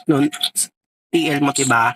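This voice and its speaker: A man's voice: mid-range voice, a little slow, fairly bright tone, a little hiss or hum. A Filipino man vlogger chatting casually in a playful, rambling way full of vague filler words. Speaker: vlogger making a prank call. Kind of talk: casual chat, prank phone call.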